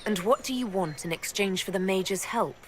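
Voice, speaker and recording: A woman's voice: mid-range voice, moderate pace, a little hiss or hum, lightly acted. A woman playing a practical young hero. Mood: plain.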